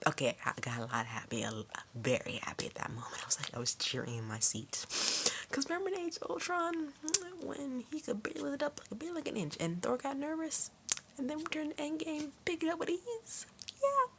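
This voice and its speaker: A woman giving a casual, rambling movie review as an excitable fan who acts out imagined lines. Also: high voice, a little fast. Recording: noticeable background noise.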